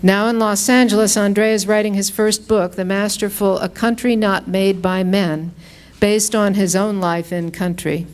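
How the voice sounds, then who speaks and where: A woman's voice: medium pitch, steady tone, measured pace, darkish tone. A woman hosting a reading, giving a prepared introduction in formal, complimentary phrasing. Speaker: host introducing a reader.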